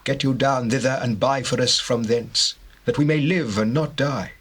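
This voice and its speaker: An actor playing an aged patriarch, giving a solemn, urgent exhortation in a scripture drama. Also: male voice, medium pitch, fairly even intonation, moderate pace, fairly bright tone, breathy edge. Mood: urgent, chiding. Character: Jacob, aged patriarch urging his sons. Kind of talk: classical dramatized scripture reading.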